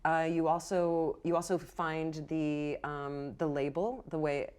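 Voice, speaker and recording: A woman running a tabletop game as game master, improvising the narration in a halting, thinking-aloud way. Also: low-pitched, fairly even intonation, a little mellow, clear, resonant tone.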